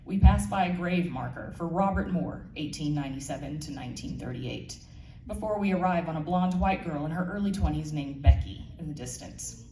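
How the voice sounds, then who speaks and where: A woman's voice: low-pitched, fairly quick, dark tone. A woman giving a live scripted narration of her audio drama, heard in a roomy space away from the mic. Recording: echoey room, distant mic, faint noise.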